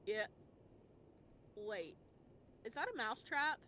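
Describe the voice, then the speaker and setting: A woman's voice: on the high side, lively intonation, slow, pushed and bright, a little hiss or hum. A woman gaming streamer giving casual let's play commentary, thinking aloud and reading game text. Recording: clear game music underneath. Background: video game music.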